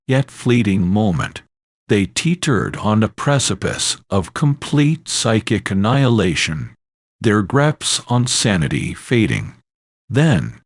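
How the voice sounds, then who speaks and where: A man reads a horror story with theatrical menace. His voice sits low and moves through a wide, animated range. He takes his time over each phrase, sounding ominous and grave. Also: dry recording, studio quality. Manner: dramatic, ominous storytelling delivery. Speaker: narrator. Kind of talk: scripted horror story narration.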